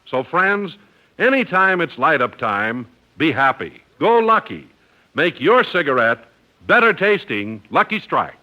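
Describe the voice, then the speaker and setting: A man's voice: on the high side, big pitch swings, measured pace, sharp tone. A man announcing on 1950s radio with a polished salesman's delivery. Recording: dull 1950s radio recording.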